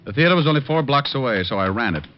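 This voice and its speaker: An actor playing a brisk, wry, tough male private detective in an old-time radio crime drama, with a clipped hardboiled delivery. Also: medium pitch, expressive, background noise, lightly acted. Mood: hardboiled, brooding. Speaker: lead actor and narrator. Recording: dull old radio recording, music behind.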